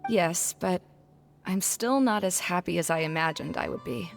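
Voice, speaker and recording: A woman's voice: fairly low pitch, normal speed, vocal fry. Downhearted young scholar lady in a game, earnest, doubts herself, music playing under her. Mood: downcast, reflective. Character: dejected young woman scholar, earnest, self-doubting fair runner. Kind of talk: video game cutscene voice acting.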